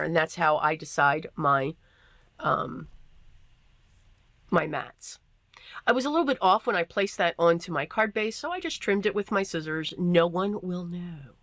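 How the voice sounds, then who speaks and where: Hoarse, low-voiced woman crafter. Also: no room sound. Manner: chatty, filler-heavy, self-deprecating. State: losing her voice, clearing throat. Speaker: card-making tutorial host. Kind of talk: casual craft tutorial voiceover.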